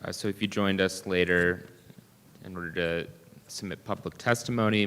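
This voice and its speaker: A man with a low, gravelly voice gives informal procedural instructions and calls up the next speakers. The sound has a long hall reverb. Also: a bit bright. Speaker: hearing staff calling speakers. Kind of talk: running a public hearing. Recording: echoey hall.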